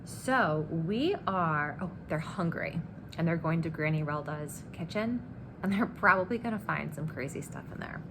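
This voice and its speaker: Female storyteller for a children's book read-aloud, lively melodic delivery, noticeable background noise. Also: deep voice, moderate pace. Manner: animated, expressive storytelling. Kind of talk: reading a children's novel aloud with asides.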